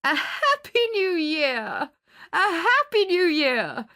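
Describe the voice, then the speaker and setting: A woman playing an excitable party guest in a radio drama, effusive and shrill. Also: slow, full character voice. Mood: shrieking glee. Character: gushing, excitable party guests screaming good wishes.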